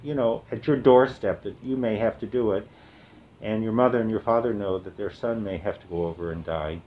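A seventy-year-old man from the Midwest talks through his memories in an oral history interview, stopping often with "uh" and "um". His voice sits on the low side, and the recording is dull and muffled. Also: slight background noise. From American Midwest. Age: 70s. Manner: hesitant, with fillers and pauses. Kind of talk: relaxed reminiscing with reading aloud.

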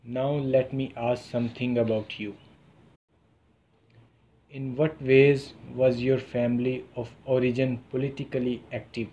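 A man interviewing for a college oral history project, reading a consent form slowly and carefully and then asking questions. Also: medium pitch, level tone, dark tone, lo-fi sound. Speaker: student interviewer.

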